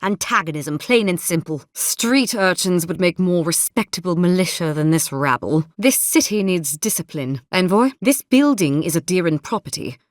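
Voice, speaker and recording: A woman's voice: on the low side, expressive, normal speed, few pauses, no echo, studio quality, lightly acted. A woman voicing a stern, haughty and zealous female military captain in a video game, speaking in a formal, clipped, imperious way. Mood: stern, indignant. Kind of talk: video game character dialogue.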